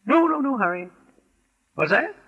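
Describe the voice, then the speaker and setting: A man's voice: very high voice, very animated, moderate pace, fairly bright tone, highs cut off, full character voice. An actor playing an outwardly polite, scheming male murderer with hesitant, offhand courtesy in a 1940s radio mystery. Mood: falsely casual. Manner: hesitant, affectedly casual politeness. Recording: noisy vintage 1940s radio recording.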